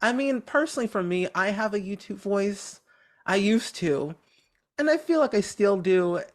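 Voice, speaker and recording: An upbeat, talkative content creator chatting casually as a podcast guest, with a naturally high voice that has a medium tone. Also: expressive, moderate pace. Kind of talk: casual interview chat.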